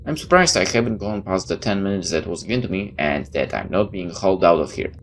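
Gameplay host, low register, expressive read-aloud with casual asides, faint noise behind. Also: brisk. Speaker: gameplay host reading a visual novel aloud. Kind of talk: expressive reading of game text with casual commentary. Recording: clear background noise.

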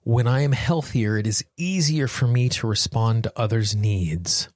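A man's voice: mid-range voice, a little slow, darkish tone, no echo, studio quality. A man hosting a self-help podcast, speaking in an earnest, encouraging manner. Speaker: podcast host. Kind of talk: guided self-help tapping affirmations and podcast talk.

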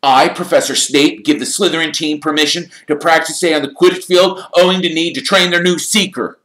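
A big, smug bully of a team captain from a children's storybook, acted by a male narrator. He taunts with cunning, raised in pitch over the reader's usual voice, and the room echoes. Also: steady tone, fairly quick, fairly bright tone, close to an everyday voice. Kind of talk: audiobook character voice in a children's fantasy story.

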